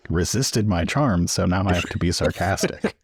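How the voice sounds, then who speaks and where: Deep, husky man bantering over a game. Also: expressive, normal speed, no room sound, studio quality. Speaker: player in a tabletop game. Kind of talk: casual joking game banter.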